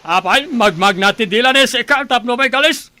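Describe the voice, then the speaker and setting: A man's voice: very high voice, moderate pace, sharp tone. A man playing a pompous, irascible rich boss in a comic Ilocano radio drama, with an Ilocano accent. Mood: angry, scolding. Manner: refers to himself in third person, blustering.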